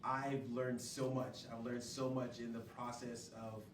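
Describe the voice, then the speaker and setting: A man who acts in a stage play, speaking earnestly in an interview with hesitant, halting phrasing. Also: mid-range voice, little pitch movement. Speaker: stage play cast member. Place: indoor venue before a studio audience. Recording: echoey room, distant mic, noisy background.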